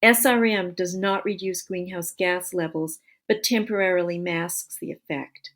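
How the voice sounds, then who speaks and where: A woman reading scripted non-fiction narration for an audiobook in a measured, explanatory way. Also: fairly low pitch, steady tone, a bit bright.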